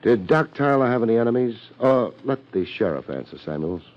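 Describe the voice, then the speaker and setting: An actor playing a laid-back, wisecracking New private detective in a 1950s radio drama, speaking in wry, clipped slang. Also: male voice, medium pitch, big pitch swings, brisk, highs cut off, lightly acted. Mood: probing, dry. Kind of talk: 1950s radio detective drama acting, first-person narration.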